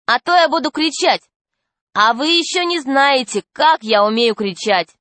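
A woman's voice: medium pitch, expressive, measured pace, fairly bright tone, no echo. A woman voicing a bold, protective young girl in a storybook, challenging people with feisty exclamations. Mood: threatening.

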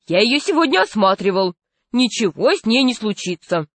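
A woman's voice: on the high side, sing-song, normal speed, no echo. A woman voicing a brusque male doctor in a storybook, giving curt, authoritative, dismissive orders. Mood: cold, curt.

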